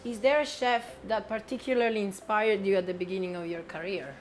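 A woman's voice: mid-range voice, fairly even intonation, a little fast, faint music behind. A woman interviewer asking brief, direct prepared questions.

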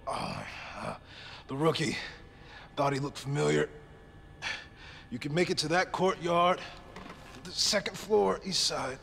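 Gravelly, weary wounded policeman. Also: a little high, fairly bright tone, a little hiss or hum. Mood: gruff, guarded. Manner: slow, labored delivery. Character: wounded police officer, weary, gruff, selfless mentor. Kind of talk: dramatic video game cutscene acting.

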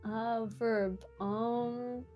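Brief: female player, slightly high voice, casual group banter over music. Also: fairly even intonation, extremely slow, muffled recording. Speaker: game participant.

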